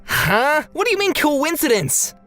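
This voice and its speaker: A woman's voice: high voice, very animated, a little slow, fairly bright tone, faint music behind, heavily acted. A woman playing an eager, indignant teenage student in a manga dub, earnest and exclamatory.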